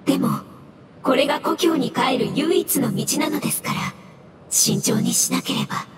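A woman's voice: high voice, lively intonation, moderate pace. A woman voicing a polite, cautious floating water fungus spirit in a video game, speaking formally and deferentially. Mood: polite, concerned. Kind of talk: video game character acting. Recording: echoing voice effect over music.

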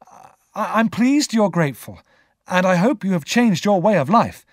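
A man voicing a polite, guarded young gentleman in his twenties in an audiobook, formal and emphatic. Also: high voice, lively intonation, moderate pace, dry recording, studio quality, pitched above the performer's own voice. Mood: firm, uneasy.

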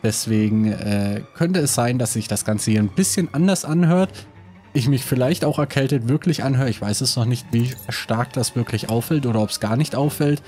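A man's voice: mid-range voice, normal speed, mellow, soft background music. A man with a cold, casually commenting on his building game while thinking aloud with many hesitations. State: has a cold. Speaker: let's play host.

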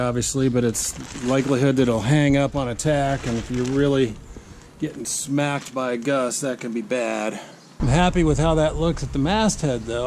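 A man's voice: medium pitch, darkish tone, a little hiss or hum. Man musing aloud as he rigs a sail. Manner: musing, thinking aloud in short phrases. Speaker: boat builder. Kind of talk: talking to himself while working. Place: outdoors in a driveway and on a boat on a lake. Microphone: action camera on the boat.

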